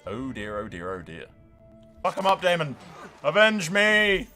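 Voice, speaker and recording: Animated man doing excitable gaming commentary. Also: a little high, measured pace, faint music behind. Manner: sweary, dramatic exclamations mixed with dry understatement. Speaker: gaming video host. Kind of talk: excitable let's-play commentary.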